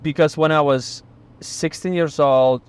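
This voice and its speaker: A man's voice: on the high side, fairly even intonation, measured pace, clean tone. A young man with a Lithuanian accent, a magician hosting a casual chat in a car. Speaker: magician and channel host.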